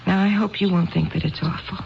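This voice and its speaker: An actress playing a tipsy, neglected housewife who rambles and confides hesitantly, in a 1970s radio drama style. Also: female voice, lowish voice, steady tone, quick pace, creaky, slight background noise. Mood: tipsy confiding. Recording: dull 1970s radio broadcast recording.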